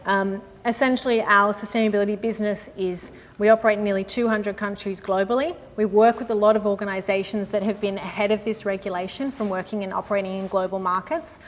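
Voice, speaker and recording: A woman, a sustainability consultant giving a live industry presentation in a room with an audience, in a friendly, measured way with frequent "um" and "uh". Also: medium pitch, little pitch movement. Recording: long hall reverb with faint noise.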